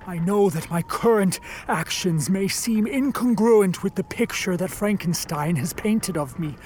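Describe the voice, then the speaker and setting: A man's voice: high voice, unhurried, a little mellow, a little hiss or hum. A man playing a remorseful created monster in a radio play, pleading in formal, eloquent, solemn speech. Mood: measured, grave.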